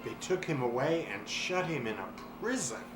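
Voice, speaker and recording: A man reading a children's storybook aloud with expressive, dramatic delivery and drawn-out words. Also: mid-range voice, music in the background. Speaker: story reader. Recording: roomy echo, clear background noise.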